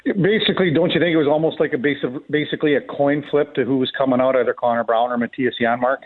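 Tinny phone-quality audio, male sports commentator, mid-pitched voice, casual folksy hockey talk, conversational delivery. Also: fast. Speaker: hockey commentator, guest on a sports radio show. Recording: tinny narrow phone-like line.

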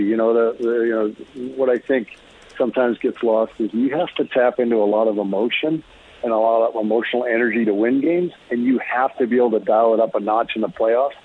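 A middle-aged or older man, a former athlete as interview guest, talking reflectively and haltingly in a casual sports chat. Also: medium pitch, breathy edge. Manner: halting, thoughtful, repeats words. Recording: muffled, lo-fi call audio.

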